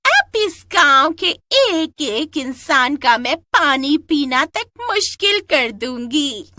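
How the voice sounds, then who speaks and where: Shrill, cackling vengeful witch ghost. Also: sing-song, sharp tone, no echo, studio quality, exaggerated voice. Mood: gloating, menacing. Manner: cackling, taunting, drawn-out menacing delivery. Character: vengeful, gloating female witch ghost lurking in water. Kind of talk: melodramatic storybook character voice.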